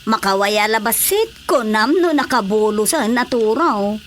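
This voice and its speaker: A woman's voice: on the high side, expressive, a little slow. A woman playing a concerned, persuasive village councilor in an Ilocano Filipino radio drama, coaxing and insistent. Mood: worried, insistent. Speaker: voice actor. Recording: faint background noise.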